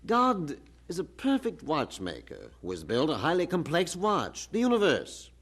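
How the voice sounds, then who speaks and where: Condescending academic, higher-pitched, very animated delivery, didactic radio drama style. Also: male voice, slightly rough, heavily acted. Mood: assured, emphatic. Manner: didactic, pompous, measured argument. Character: learned, condescending male university professor arguing patiently.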